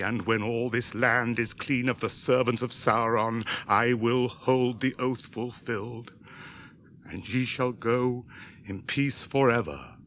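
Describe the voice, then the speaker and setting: A man's voice: fairly low pitch, a bit bright, a little hiss or hum. A British actor playing a grave, commanding warrior king in a radio drama, speaking in a solemn, stately, declamatory way. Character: grave, commanding male warrior king leading his company. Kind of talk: BBC radio drama acting. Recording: 1981 radio drama with music underneath.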